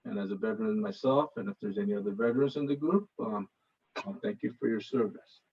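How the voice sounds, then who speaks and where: A man in his fifties, born in Korea, with a Los Angeles Koreatown accent, giving a casual info session presentation on a video call and often pausing on filler sounds. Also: lowish voice, fairly even intonation, a little mellow, husky. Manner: hesitant, many filler sounds. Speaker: presenter, finance professional. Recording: slightly dull video call audio.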